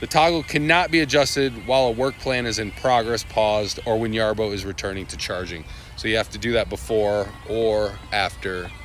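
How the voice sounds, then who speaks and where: A man's voice: on the low side, fairly even intonation, moderate pace, hardly any pauses, a bit bright, slight background noise. A man hosting a casual live walkthrough of product updates, talking loosely with lots of fillers and restarts. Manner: loose and rambling, with many fillers, restarts and asides. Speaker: livestream host presenting product updates.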